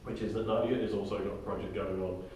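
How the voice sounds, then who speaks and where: A man informally briefing a group of actors as their director, halting as he goes and often checking in with "okay?". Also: on the low side, fairly even intonation, darkish tone. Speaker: director and writer leading a drama table read. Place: reverberant indoor room, recorded from far off. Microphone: distant room microphone. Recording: very echoey room, distant mic, faint noise.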